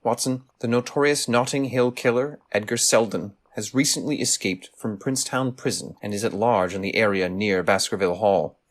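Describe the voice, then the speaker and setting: A man playing a shrewd, commanding gentleman detective in a radio play, formal, deliberate and probing. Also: medium pitch, close to an everyday voice. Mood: grave.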